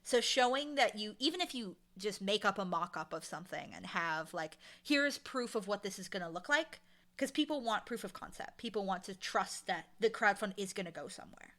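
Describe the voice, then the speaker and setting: Bright-voiced woman in an interview. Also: medium pitch, normal speed. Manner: animated, illustrates points with acted-out quotes. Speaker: audio drama showrunner, interview guest. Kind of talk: casual, opinionated interview talk.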